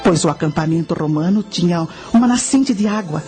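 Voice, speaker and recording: A woman playing a knowing guide who narrates ancient history in a radio drama, measured and instructive. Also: on the low side, expressive, dark tone, soft background music, lightly acted.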